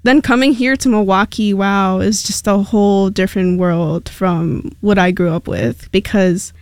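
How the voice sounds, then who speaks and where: A woman's voice: medium pitch, unhurried, a little mellow, clear, resonant tone, dry recording. A young woman from Mexico whose first language is Spanish, a radio station intern chatting casually as a guest in a studio, rambling along with plenty of fillers.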